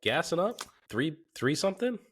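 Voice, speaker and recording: A man's voice: a little high, big pitch swings, moderate pace, slightly rough. A man hosting a podcast, chatting casually, joking and asking questions. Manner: joking, curious, swears freely. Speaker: podcast host. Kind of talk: casual chat.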